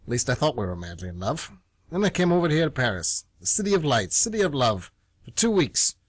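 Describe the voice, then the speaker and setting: A man's voice: medium pitch, very animated, brisk, no echo, studio quality. A man playing an exasperated, heartbroken traveller in an amateur radio play, irritable, blunt and incredulous. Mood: wounded, incredulous. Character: exasperated, heartbroken male traveller snapping at a stranger.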